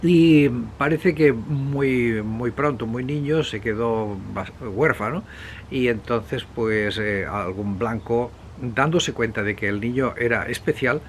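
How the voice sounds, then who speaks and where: Rambling man over noticeable background noise. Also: mid-range voice. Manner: rambling, digressive, hesitant with frequent pauses and self-corrections. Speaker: video presenter. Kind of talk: unscripted, conversational history monologue. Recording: clear steady background noise.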